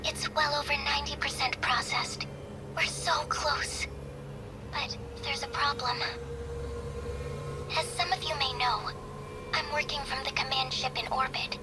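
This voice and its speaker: Sincere young support analyst for a game dub; high-pitched, gentle, encouraging, music underneath. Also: female voice, fairly even intonation, unhurried, pauses often. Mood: grave, apologetic. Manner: earnest, encouraging. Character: earnest, gentle young female analyst supporting pilots remotely. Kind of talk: English game dub voice acting.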